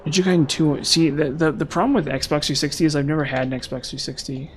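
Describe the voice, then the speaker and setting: A young man streaming a game, chatting casually with viewers in a rambling way, his voice slightly hoarse from long talking. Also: a little high, steady tone, fast, slight background noise. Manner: rambling, lots of "like" and "um". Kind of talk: casual live-stream chat while gaming.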